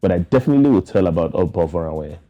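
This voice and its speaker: A man's voice: deep voice, expressive, rapid-fire, narrow, tinny audio. A man with a Nigerian accent giving thoughtful, emphatic answers as an interview guest. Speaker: guest theatre practitioner.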